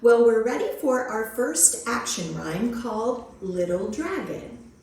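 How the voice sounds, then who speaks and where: A woman's voice: a little high, lively intonation, unhurried, darkish tone, roomy sound. A woman hosting storytime for young children, reading picture books expressively and giving encouraging, simple instructions. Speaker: children's librarian, storytime host.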